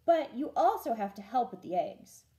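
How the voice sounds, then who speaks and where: A woman's voice: fairly high pitch, sing-song, normal speed, warm tone, dull audio. A woman voicing a plucky, caring young sister rabbit in a children's story, earnest and emphatic. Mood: firm.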